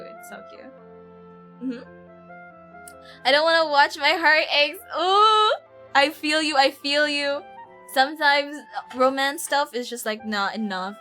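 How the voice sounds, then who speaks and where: A woman livestreaming a game with casual, expressive, giggly chatter, often repeating herself. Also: high-pitched. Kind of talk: casual chatty stream commentary. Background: faint game music.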